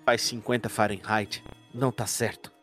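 Brooding, courteous male detective, played by a man for tabletop horror roleplay; measured formal phrasing, music behind. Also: fairly low pitch, level tone, a bit bright, lightly acted. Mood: suspicious, brooding.

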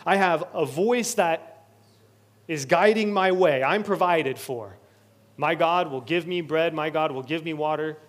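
Male pastor, higher-pitched, preaching with earnest building repetition. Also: measured pace. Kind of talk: sermon. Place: church sanctuary.

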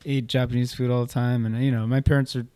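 A man's voice: medium pitch, flat delivery, fast talker, mellow, smooth, no room sound. A man from Southern California, a guest musician giving loose, laid-back answers full of fillers in a casual interview, recorded indoors at a theater venue.

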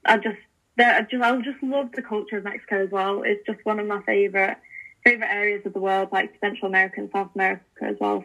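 A woman's voice: mid-range voice, sharp tone, lo-fi sound. Chatty young woman on a call. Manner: chatty, enthusiastic, lots of fillers and restarts. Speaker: podcast guest, language learning founder. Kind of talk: casual interview chat.

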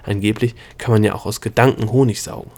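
A man reading an audiobook, voicing an excitable male gnome who lectures and cackles. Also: on the low side, fast, vocal fry. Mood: lecturing. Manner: cackling, lecturing, worked up into a rage. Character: excitable male gnome with color-changing scales.

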